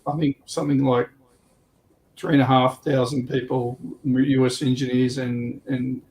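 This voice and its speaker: A man on a livestream panel, telling stories casually and repeating himself in a halting way as he explains. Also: medium pitch, level tone, a little mellow, a little hiss or hum, rough recording. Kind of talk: casual chat, informal storytelling.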